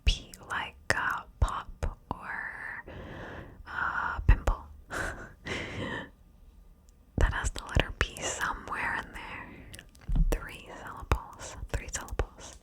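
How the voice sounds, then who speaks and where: A woman whispering slowly and softly, coaxing and encouraging the listener through a word-game ASMR session. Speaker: ASMR host guiding the listener.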